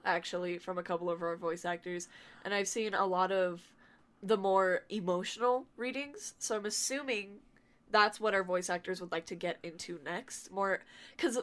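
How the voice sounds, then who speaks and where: A talkative woman speaking casually as a guest on a podcast, quick to laugh and explaining at length. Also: medium pitch. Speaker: podcast guest from a fan dubbing group.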